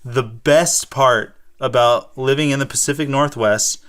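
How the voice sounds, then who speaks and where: An American man chatting casually as a co-host. His voice is smooth and clear at an average pitch. He explains things in a rambling way, often correcting himself mid-sentence. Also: a little slow, fairly bright tone. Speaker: co-host of a gaming show and school teacher. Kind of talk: casual unscripted chat, answering viewer questions.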